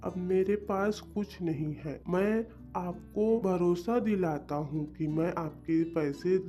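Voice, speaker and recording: A woman's voice: on the low side, slow, warm tone, pitched below the performer's own voice. A woman voicing a poor, humble, earnest village farmer father in a cartoon story. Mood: pleading. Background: plucked string music.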